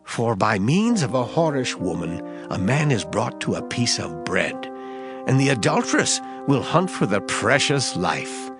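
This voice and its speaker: A man's voice: medium pitch, very animated, unhurried. A man giving a dramatized scripture reading as narrator, in a solemn, declamatory manner. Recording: guitar music under the voice. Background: guitar music.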